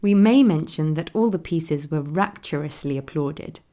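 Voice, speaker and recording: An admiring Victorian newspaper critic played by a woman reads out a concert review. The voice is low and mellow, the phrasing formal and deliberate, in radio play style. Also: natural voice. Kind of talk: dramatised reading of a period newspaper review.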